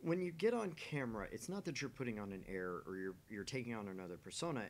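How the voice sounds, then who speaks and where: A man's voice: lively intonation, fast talker. A man hosting a livestream, chatting casually and earnestly into a microphone. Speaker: livestream host of a gaming channel. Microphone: microphone on a stream setup. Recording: noisy mic with low rumble.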